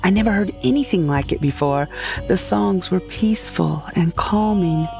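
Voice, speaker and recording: A woman's voice: fairly low pitch, normal speed, creaky, a little mellow. A woman narrating a first-person comic science fiction audio drama. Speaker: narrator and lead character. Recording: music behind the voice.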